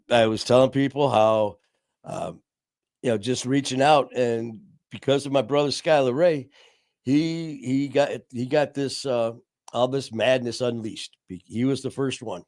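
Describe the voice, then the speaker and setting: A man's voice: mid-range voice, vocal fry, darkish tone, dry recording, clean studio sound. A man hosting his live online show, chatting casually and gratefully, rambling with lots of fillers. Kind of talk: casual live-stream chat.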